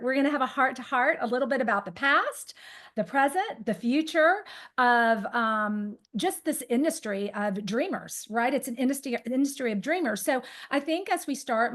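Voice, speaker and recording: An energetic woman host with a fairly high voice speaks effusively in a casual live chat. She introduces and questions her guest in an informal, enthusiastic way.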